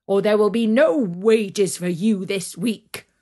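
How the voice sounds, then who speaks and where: A woman voicing a hard-hearted male farm master from a storybook, mocking and commanding in a loud voice. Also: medium pitch, slow pace. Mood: jeering, scornful. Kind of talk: storybook character voice.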